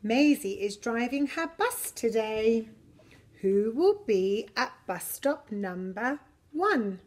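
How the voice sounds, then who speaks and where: Lady doing story time for little kids, talks really slowly, kinda high voice, expressive. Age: middle-aged. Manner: slow, deliberate, expressive delivery for little children. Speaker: preschool story reader. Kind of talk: picture-book reading for young children. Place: preschool classroom, indoors. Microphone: camera a short distance away.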